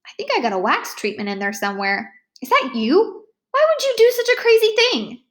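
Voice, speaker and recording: A woman's voice: extremely high pitch, very animated, brisk, fairly bright tone, voice pushed up, full character voice. A woman voicing an exasperated, accusing male car wash manager in a storybook read-aloud. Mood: baffled, exasperated. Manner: indignant, incredulous.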